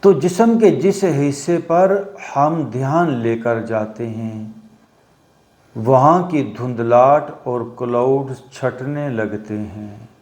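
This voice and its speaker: A man teaching guided meditation, giving instructions in short phrases with long pauses between them. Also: mid-range voice, a little mellow. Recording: roomy echo on the voice.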